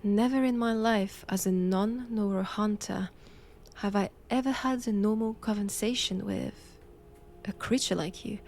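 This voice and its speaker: A woman performs a stern nun who hunts vampires, in a dramatic roleplay audio. Her French accent shapes slow, deliberate sentences at a medium female pitch. She is formal, cold and commanding, sure of her own righteousness. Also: a little mellow, soft background music, natural voice. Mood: grave, condescending.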